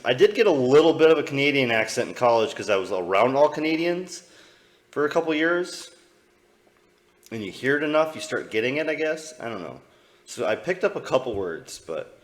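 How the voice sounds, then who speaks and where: A young man from the American Midwest with a Midwestern accent, rambling loosely and swearing as he comments on old clips during a live stream. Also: medium pitch.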